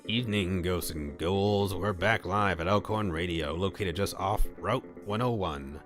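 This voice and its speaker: A man's voice: sits low, measured pace, fairly bright tone. A man voicing a ghastly, showy ghoul who hosts a radio show, with theatrical late-night announcer patter. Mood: showy, welcoming. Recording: music behind the voice.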